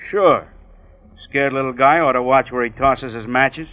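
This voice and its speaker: An actor playing an overworked, sarcastic police sergeant in a vintage radio drama, curt and impatient. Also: male voice, mid-range voice, normal speed, natural voice. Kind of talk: 1940s radio acting. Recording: muffled old radio broadcast.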